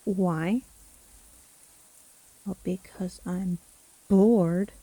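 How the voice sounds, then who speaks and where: Woman playing a slow, breathy, dark-voiced witch. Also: on the low side, steady tone, no echo, full character voice, poor audio. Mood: gloating, mocking. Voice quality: soft, breathy. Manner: slow, theatrical, teasing, condescending. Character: sly, gloating witch storyteller toying with her trapped victim. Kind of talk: dramatic fantasy audio roleplay storytelling.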